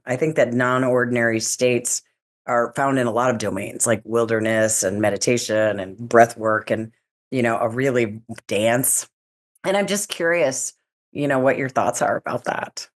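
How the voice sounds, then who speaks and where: Deep-voiced woman host, conversational and curious. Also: moderate pace. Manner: chatty, curious, many fillers like "you know". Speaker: interview host. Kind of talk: casual interview questions.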